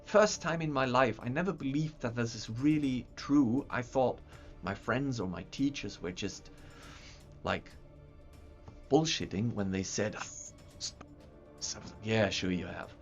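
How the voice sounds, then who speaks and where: Man, livestream host, casual rambling chat, stumbles and repeats, muffled lo-fi sound, soft music underneath. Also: medium pitch, measured pace. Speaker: livestream host showing map software. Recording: dull lo-fi sound with faint music.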